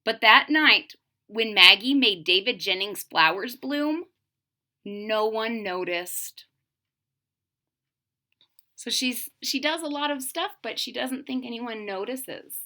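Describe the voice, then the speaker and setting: Bright, animated woman reading to children. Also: on the high side, slow pace, pauses often. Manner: expressive, explains and repeats for young listeners. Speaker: storybook reader. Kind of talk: children's picture-book read-aloud with asides to viewers.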